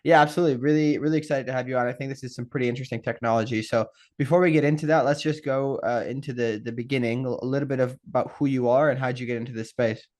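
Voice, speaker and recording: A man's voice: mid-range voice, fairly even intonation, very fast, few pauses, a little mellow. A man hosting a podcast, reading a scripted intro and then asking upbeat, rambling interview questions. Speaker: podcast host.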